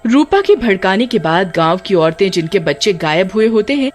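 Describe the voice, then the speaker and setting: A woman storyteller reading scripted narration for an animated tale. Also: medium pitch, moderate pace, faint music behind.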